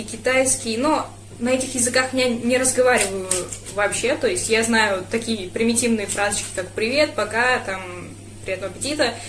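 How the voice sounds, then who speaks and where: A woman's voice: on the high side, a bit bright, reverberant, slight background noise. Young woman speedcuber with fillers. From Russia. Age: young adult. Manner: thoughtful, hesitant with fillers. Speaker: speedcuber vlogger. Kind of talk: casual Q&A vlog.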